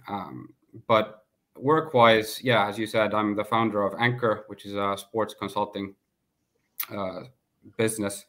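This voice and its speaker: Thirties man from Finland, low monotone, guest talking business over a webcam call. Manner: measured, flat delivery with filler pauses. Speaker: guest, sports consultant. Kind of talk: video call interview. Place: home room, indoors. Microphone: laptop webcam on video call.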